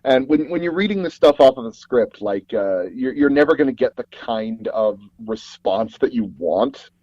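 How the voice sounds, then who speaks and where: A man being interviewed as a guest, telling personal anecdotes in a rambling, halting way and often circling back to rephrase. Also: mid-range voice, lively intonation, a little mellow, dry recording, highs cut off. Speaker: guest audio drama producer and actor.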